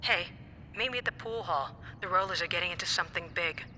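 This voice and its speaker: A woman's voice: fairly low pitch, fast, sharp tone, a little hiss or hum. A woman playing a tough, defiant young gang member in a video game, brash and profane. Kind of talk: video game cutscene acting.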